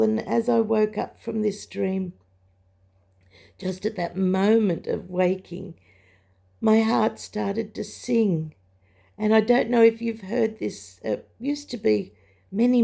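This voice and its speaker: An older woman with a croaky voice gives a personal spiritual testimony, telling it in a halting, reflective way. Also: mid-range voice, fairly even intonation, warm tone. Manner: halting, reflective storytelling with pauses. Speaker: religious vlogger sharing a testimony. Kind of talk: personal spoken testimony, recounting a dream.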